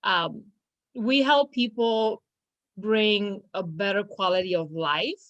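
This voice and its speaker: A woman's voice: mid-range voice, slow, no room sound, muffled recording. A young woman from Romania, a law firm's business manager, talking casually in an interview, hesitant with many fillers and restarts.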